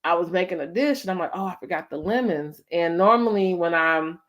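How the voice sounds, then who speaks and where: A woman's voice: fairly low pitch, brisk, lo-fi sound. A woman with an American accent hosting a casual interview, talking conversationally with lots of "like" and "you know". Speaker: host of a family storytime interview.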